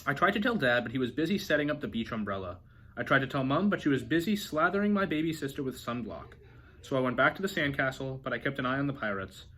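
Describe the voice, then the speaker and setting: A man reading a children's storybook aloud as an expressive narrator. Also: medium pitch, fast talker. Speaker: storybook reader.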